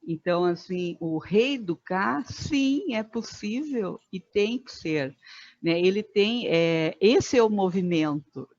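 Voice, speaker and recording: Fifties woman, guest on a podcast, talks slow and thoughtful, lots of pauses, kinda muffled. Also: mid-range voice, lively intonation, a little mellow, dry recording. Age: 50s. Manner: thoughtful, many fillers and pauses. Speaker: guest, Biodanza facilitator. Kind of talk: reflective podcast conversation.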